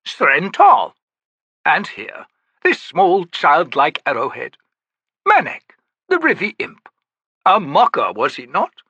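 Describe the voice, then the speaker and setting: A man's voice: fairly high pitch, huge pitch swings, takes it slowly, pauses often, bright, forceful tone, breathy edge, dry recording, lo-fi sound, voice pushed up, full character voice. A man voicing a pompous, flowery male trickster scholar who talks about himself in the third person, in an audiobook. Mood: grandiloquent. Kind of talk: audiobook character voice.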